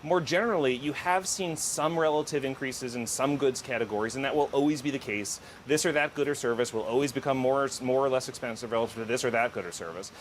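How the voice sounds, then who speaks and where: A man's voice: mid-range voice, fast, hardly any pauses. Man explaining economics, some background noise. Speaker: economic policy interviewee. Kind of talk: explanatory news interview answers.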